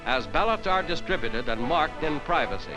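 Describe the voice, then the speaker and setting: Male 1940s newsreel narrator, American, higher-pitched, formal and declamatory, over prominent music. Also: lively intonation, moderate pace, rough recording. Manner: formal, declamatory announcer delivery. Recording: 1940s newsreel soundtrack, loud music behind.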